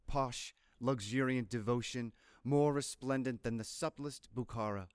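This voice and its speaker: Slow, smooth-voiced man reciting poetry. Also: medium pitch, fairly even intonation, no room sound, clean studio sound. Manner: measured, deliberate, literary delivery. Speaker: poetry reader.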